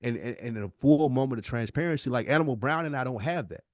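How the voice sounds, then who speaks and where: A man's voice: medium pitch, lively intonation, fast talker, a little mellow, no room sound, clean studio sound. An American man co-hosting a podcast in a candid heart-to-heart, speaking earnestly and emphatically and repeating himself to make his point. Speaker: podcast co-host.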